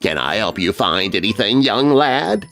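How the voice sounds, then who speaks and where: A man's voice: lowish voice, very animated, a little slow, a bit bright, soft background music, full character voice. A man voicing a nervous, discouraging woman librarian in a children's scary story.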